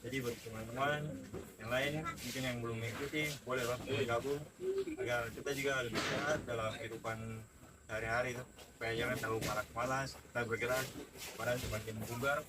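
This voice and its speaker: A man's voice: medium pitch, steady tone, fairly quick. A young man from North Sulawesi, a military athlete giving interview answers and urging others to join in. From Manado, North Sulawesi. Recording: very noisy ambient background with birds.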